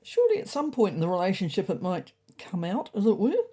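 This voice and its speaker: A woman's voice: medium pitch, huge pitch swings, fast talker, mellow. A woman voicing an earnest, naive, doubtful male pet cat in a comic audio storybook. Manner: earnest, questioning.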